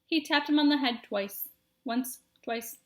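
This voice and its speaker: A woman reads a children's story aloud. Her voice is high. She narrates expressively, addressing young listeners as a storyteller would. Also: unhurried. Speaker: storybook reader.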